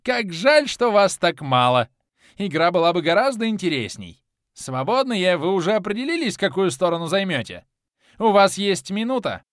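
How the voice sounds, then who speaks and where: Cackling mad god, very high and loud, manic, gloating, dry close sound. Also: male voice, big pitch swings, normal speed, no echo. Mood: mocking. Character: mad cackling male deity, gleeful and childish.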